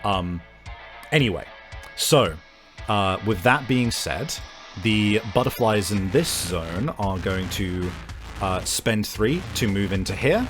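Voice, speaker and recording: A man's voice: lowish voice, animated, takes it slowly. Man as game master, music behind. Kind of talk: narrating a tabletop roleplaying game.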